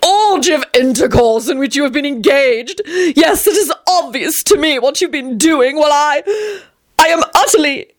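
A woman's voice: high voice, animated, moderate pace, voice pushed up. A woman voicing a melodramatic young man who wails and sobs in grand, old-fashioned phrases. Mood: wailing. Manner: shrieking, sobbing, archaic grand phrasing. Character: melodramatic wailing young man, theatrical and self-pitying.

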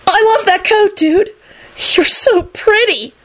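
A woman voicing a lovesick, excitable young male slacker in an audiobook, gushing and overwrought. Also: extremely high pitch, slow pace, voice pushed up. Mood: ecstatic, lovesick.

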